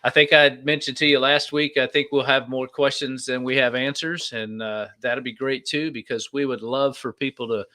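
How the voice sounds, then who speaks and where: A man co-hosting a podcast in a casual, conversational way. His voice sits at a middle pitch, and his manner is informal and folksy. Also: steady tone, normal speed, hardly any pauses, sharp tone. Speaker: podcast co-host. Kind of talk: casual chat.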